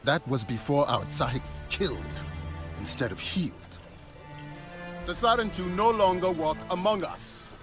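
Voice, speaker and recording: A man's voice: a little high, expressive, a little slow, music in the background. An actor playing a proud, suspicious male clan enforcer who talks down to strangers with scorn. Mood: hostile. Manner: scornful, commanding.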